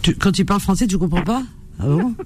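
A woman's voice: medium pitch, animated, fast talker, darkish tone, faint music behind. A woman hosting a call-in radio show, outspoken and spontaneous, bantering freely with callers.

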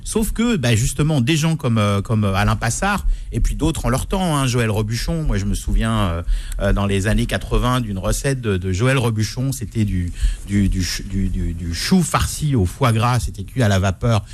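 A man's voice: lowish voice, lively intonation, moderate pace. A man co-hosting a food radio show as critic, repeating words and stammering while he thinks.

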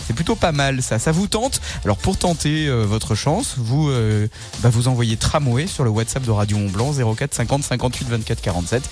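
A man's voice: medium pitch, animated, fairly quick, darkish tone. Jokey man hosting morning radio. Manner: jokey, laughs often, many fillers. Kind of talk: lively morning radio banter. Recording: music bed under voice.

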